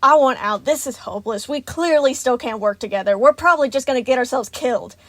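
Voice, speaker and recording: A woman voicing an angry, hopeless male animatronic, blunt and yelling, in a story reading. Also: sits high, quick pace, no echo, pitched above the performer's own voice. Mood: angry despair.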